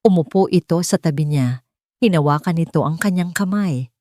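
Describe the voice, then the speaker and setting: Low-voiced Filipino woman telling a dramatic story. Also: normal speed, dark tone, no echo, studio quality. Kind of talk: scripted dramatic narration of a love story.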